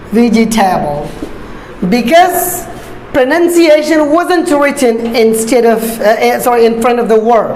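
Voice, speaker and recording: Slow-explaining woman teacher. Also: on the high side, a little mellow, a little hiss or hum. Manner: slow, deliberate, repeats points with fillers. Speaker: ESL instructor. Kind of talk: classroom-style explanation. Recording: echoey room.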